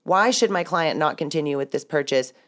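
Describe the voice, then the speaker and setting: A woman's voice: low voice, expressive, brisk, fairly bright tone. A woman who hosts a podcast and works as a real estate broker, talking in a chatty, rambling way and laughing often. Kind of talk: casual podcast conversation.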